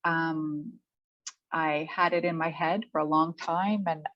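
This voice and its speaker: Older-ish woman in a casual interview, low voice, kinda halting, muffled lo-fi sound. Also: fairly even intonation, no echo. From Canada. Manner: halting, thinking aloud. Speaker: interviewed author. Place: remote online call. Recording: dull, muffled lo-fi audio.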